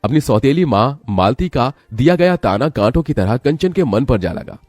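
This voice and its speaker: A man's voice: medium pitch, very animated, moderate pace, a little mellow, no echo, studio quality, soft background music. A man narrating a scripted story as its storyteller.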